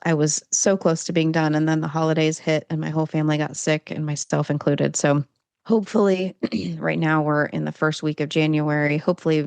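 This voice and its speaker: A woman guest on a podcast, an author and coach, answering eagerly and explaining things in quick lists. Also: sits low, level tone, gravelly, a little mellow, clear, resonant tone, no echo. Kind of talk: casual interview chat.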